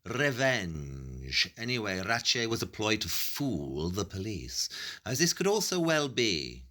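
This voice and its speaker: A brilliant, self-assured Victorian detective in an audio play. His voice sits fairly low and moves through very lively swings of pitch as he reasons things out with pedantic precision. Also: slow, heavily acted. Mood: detached. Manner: analytical, declarative, pedantic.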